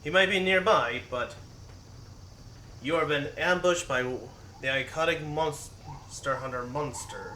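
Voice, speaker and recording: A man giving a halting, improvised story recap as game commentary, recorded from a distance in a roomy space. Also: on the high side, sharp tone. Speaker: gaming video maker. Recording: roomy, distant mic with faint noise.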